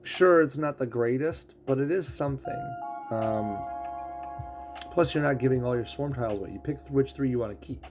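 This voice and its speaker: A man casually explaining a game character's abilities, throat rough as he is losing his voice. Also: mid-range voice, normal speed, music in the background. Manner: explanatory, walks through ability text. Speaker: game streamer.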